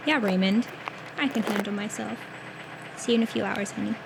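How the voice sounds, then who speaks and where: A woman's voice: mid-range voice, brisk, creaky, lightly acted. A woman acting as a self-assured, practical young wife in an amateur audio drama. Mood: reassuring. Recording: noisy, clicks and vehicle ambience.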